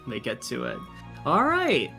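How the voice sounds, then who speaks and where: Lady game master, really low voice, chatty narration, faint music behind her. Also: huge pitch swings, measured pace, gravelly, sharp tone. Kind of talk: casual tabletop narration.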